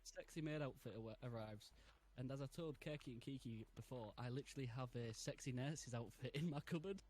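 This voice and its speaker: A man's voice: mid-range voice, brisk, a little mellow. A man in his twenties chatting casually and laughing often while streaming a game. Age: 20s. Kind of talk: casual gaming chat.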